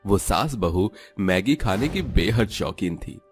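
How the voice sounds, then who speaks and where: A man's voice: fairly low pitch, big pitch swings, a little slow, soft background music. A man delivering scripted storytelling narration for a comic village tale.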